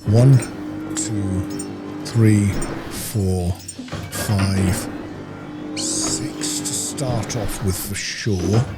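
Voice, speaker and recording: A man's voice: lowish voice, very slow, long pauses, over loud music. A man giving casual gameplay commentary, thinking aloud in an informal way. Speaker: gaming video host.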